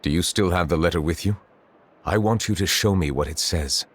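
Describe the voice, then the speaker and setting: A man voicing a stern, composed male chief justice in a video game, speaking in a measured, formal and grave way. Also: sits low, a little hiss or hum. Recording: game audio with faint music behind.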